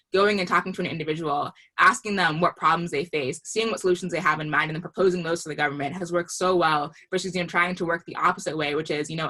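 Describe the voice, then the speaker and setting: A woman with a low, bright voice speaks as an interviewed author. She moves quickly and articulately, laying out her points earnestly in long explanatory sentences. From Liberian family. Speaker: author being interviewed about a book.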